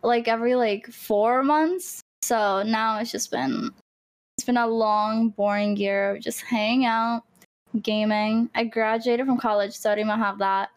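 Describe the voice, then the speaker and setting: A woman's voice: fairly high pitch, fairly even intonation, unhurried, smooth, low-quality recording. A woman of twenty-two from Greece, whose first language is Greek, chatting casually and laughing as a podcast guest. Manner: chatty, laughing, heavy use of "like". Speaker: guest, gamer and streamer.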